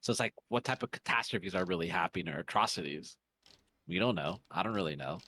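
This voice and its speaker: A man's voice: low-pitched, lively intonation, fast talker, pushed and bright, husky. A man with an American accent chatting casually as a podcast co-host, slangy and full of "bro" and "dude". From United States. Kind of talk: casual banter.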